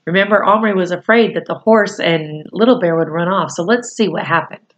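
A woman in her forties reading a storybook aloud to children, deliberate and pausing phrase by phrase, with explanatory asides. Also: on the low side, lo-fi sound. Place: small room at home, indoors.

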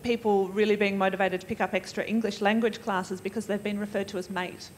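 A woman's voice: mid-range voice, steady tone, brisk. Woman panellist, noisy recording. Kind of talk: panel discussion remarks. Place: stage in an auditorium with audience. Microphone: handheld microphone.

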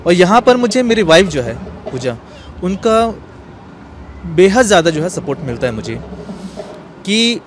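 Male interview guest, high-pitched and melodically varied, explaining, with faint street noise behind. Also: big pitch swings, unhurried, pauses often. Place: outdoors on a bench in a courtyard. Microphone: interviewer's handheld microphone.

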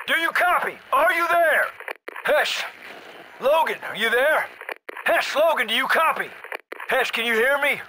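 An actor playing a gruff, commanding middle-aged special forces soldier in a military shooter game, giving clipped, urgent orders. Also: male voice, extremely high pitch, background noise.

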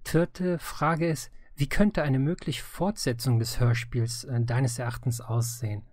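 A man's voice: medium pitch, fairly even intonation, measured pace, a little mellow, no room sound. Man interviewing, dry close sound. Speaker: interviewer, audio drama creator. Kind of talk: casual interview questions.

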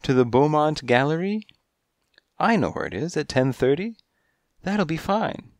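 A dainty, flirtatious female cat coos her tale in a high, breathy voice, as a man reads her part. She gushes and flutters, dramatic but unhurried. The sound is dry and close, like a storybook reading. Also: animated, no room sound. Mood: gushing. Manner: breathy, gushing, coy.

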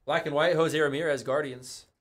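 A man's voice: fairly high pitch, normal speed. A young man hosting a live card break in a studio, calling out the cards he pulls in a casual, chatty way. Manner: casual, calls out cards, excited on big hits.

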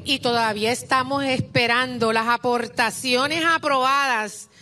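Puerto rican woman fired up at an outdoor rally podium, high bright voice, slow deliberate delivery. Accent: Puerto Rican Spanish. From Puerto Rico. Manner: impassioned, exhorting the crowd. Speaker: rally speaker. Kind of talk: political rally speech. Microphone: podium mic.

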